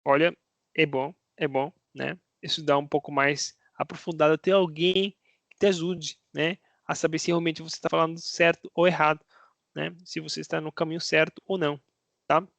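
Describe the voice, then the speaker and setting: A Brazilian-accented man with a somewhat high, gravelly voice talks casually to his viewers as a language teacher. He explains in a chatty way, posing rhetorical questions and checking in with the listener. The sound is close and dry, with no room around it. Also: flat delivery, normal speed, a bit bright, no room sound. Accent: Brazilian Portuguese. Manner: conversational, asks himself rhetorical questions, tags lines with "né?". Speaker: Spanish teacher.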